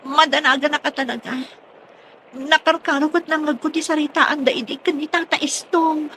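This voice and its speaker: A woman's voice: sits high, steady tone, normal speed, pushed and bright, slight background noise, low-quality recording. A woman playing an anxious, fretful daughter in a radio drama, with an Ilocano accent. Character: anxious daughter fretting over her missing father.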